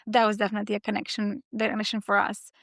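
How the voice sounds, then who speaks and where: A woman host from western Romania with a Romanian accent, measured and a little hesitant as she interviews a guest. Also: mid-range voice, completely flat delivery, vocal fry, fairly bright tone, no room sound, clean studio sound. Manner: measured, with hesitant ums and restarts. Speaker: podcast host. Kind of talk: interview podcast.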